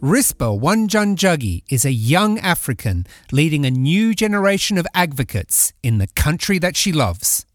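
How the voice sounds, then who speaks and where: A man hosting an agriculture podcast, reading scripted narration in a measured way. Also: fairly high pitch, big pitch swings, dry recording. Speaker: podcast host. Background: background music.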